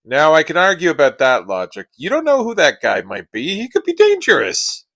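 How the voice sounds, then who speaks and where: A man's voice: on the high side, huge pitch swings, normal speed, gravelly, fairly bright tone, full character voice. A man acting in a radio play as a cautious, exasperated friend who argues and complains dryly. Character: exasperated, cautious, sarcastic male friend in the passenger seat.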